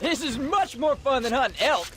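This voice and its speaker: A man's voice: very high voice, lively intonation, moderate pace, background noise. A male actor playing a rancher's moody, eager teenage son in a video game, with a rural American Western accent and an earnest, questioning manner. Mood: excited, eager. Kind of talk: dramatic video game dialogue. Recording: very loud outdoor horse-ride ambience over dialogue.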